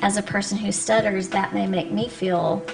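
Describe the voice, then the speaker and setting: A woman speech-language pathologist, a guest on a radio interview, explaining clearly and patiently with frequent ums. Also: medium pitch, steady tone, moderate pace, low-quality recording. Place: radio studio. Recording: radio studio with music behind.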